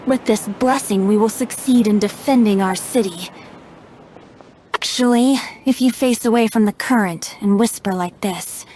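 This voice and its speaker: A woman's voice: mid-range voice, moderate pace, dark tone, a little hiss or hum, lightly acted. A woman voicing a playful, youthful male wandering bard in a video game, light and coy. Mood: earnest.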